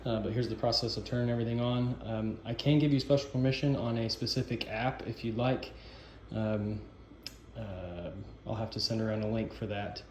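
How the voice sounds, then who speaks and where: A man giving an informal instructional walkthrough of equipment, explaining in a halting, improvised way. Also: on the low side, fairly even intonation, a little mellow. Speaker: sound system installer giving a walkthrough. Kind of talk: informal instructional demo narration. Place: indoor camp office. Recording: echoey room, noisy ambient background.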